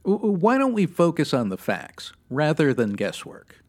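By-the-book detective, deadpan, clipped lines, comedy radio play, a man acting him. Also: fairly high pitch, normal speed. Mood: stern. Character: stiff by-the-book male police detective.

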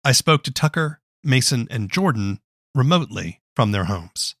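A man's voice: mid-range voice, lively intonation, normal speed, fairly bright tone, no room sound, studio quality. A man working as interviewer and narrator, reading a scripted radio feature.

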